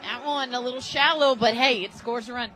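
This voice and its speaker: Chatty American woman giving softball color commentary. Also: fairly high pitch, a little fast, soft background music. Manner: chatty, enthusiastic. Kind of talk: conversational sports commentary. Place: radio booth at a ballpark.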